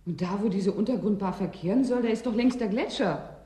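An actress playing a blunt, questioning woman newcomer in a radio drama, direct, probing and expressive. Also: medium pitch, fairly quick, close to an everyday voice. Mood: challenging. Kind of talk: German science-fiction radio drama acting.

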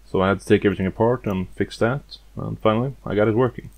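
A man with a fairly low voice walks through a demonstration step by step. He keeps it plain and matter-of-fact, explaining like a hobbyist. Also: fairly even intonation, fast, vocal fry. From outside the United States. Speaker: hobbyist demonstrating a vintage gadget.

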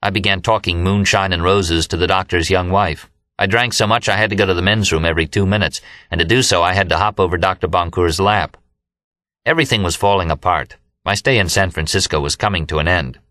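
An American man narrates a novel in a low voice with a bright, forceful edge. It is scripted first-person audiobook reading, recorded close and very dry. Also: a little fast, no room sound. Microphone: close studio microphone, dry.

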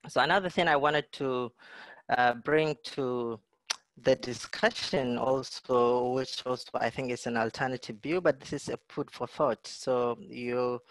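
A middle-aged man delivers a lecture over a video call from indoors. His voice sits at an ordinary middle pitch and sounds dry and close, with no room echo. He talks in a thoughtful, searching way, often saying "you know" and repeating words. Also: measured pace. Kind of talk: online lecture with slides. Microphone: video call webcam.

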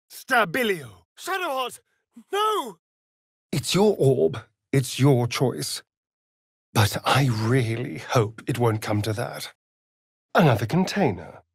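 A man's voice: a little high, slightly rough, dry recording, full character voice. Sardonic ancient vampire, arch and theatrical, video game style, takes his time, close dry sound. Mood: desperate, furious, then wry. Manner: arch, drawling, flamboyant delivery.

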